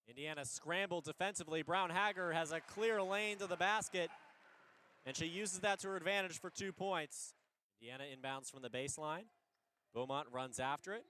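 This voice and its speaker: A man giving live radio play-by-play of a basketball game in an energetic, descriptive way, lifting his voice on big plays. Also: sits high. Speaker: play-by-play radio sports announcer.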